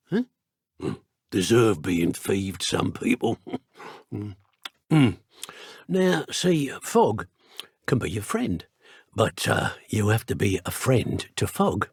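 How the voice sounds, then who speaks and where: Video game dark wizard henchman, British, rough husky voice, sneering, colloquial, wide swings in pitch. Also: dry recording, clean studio sound, heavily acted. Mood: musing, sly. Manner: colloquial, taunting, menacing banter. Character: cocky, sneering male dark wizard thug. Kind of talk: video game character voice clips.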